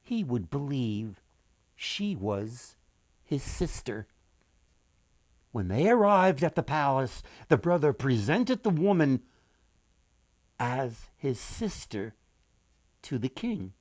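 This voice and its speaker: A man reading a folktale aloud as its author, in an expressive storytelling style with deliberate, dramatic pauses. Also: medium pitch, no echo.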